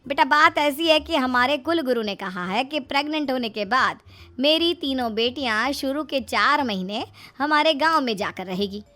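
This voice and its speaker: A woman's voice: high-pitched, animated, normal speed, sharp tone. A woman voicing a scheming, fretful middle-aged mother-in-law with pleading, emphatic delivery in a comic story. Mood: firm coaxing.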